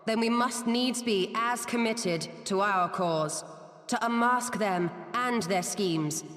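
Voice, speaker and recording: A determined young female leader in a fantasy game. Her medium-pitched speech is firm, formal and old-fashioned, set in a strongly echoing space. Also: slow pace, a bit bright. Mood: grim, determined. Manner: formal, firm, archaic phrasing. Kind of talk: dramatic fantasy game acting.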